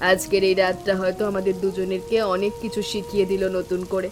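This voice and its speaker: A woman playing a caring elder sister-in-law in an animated family drama, speaking in a reassuring, gently instructing manner. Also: mid-range voice, quick pace, close to an everyday voice. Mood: affectionate. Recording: music bed with rain sounds.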